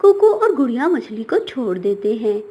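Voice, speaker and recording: Mellow woman narrating a children's story. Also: a little high, big pitch swings, normal speed, slightly rough.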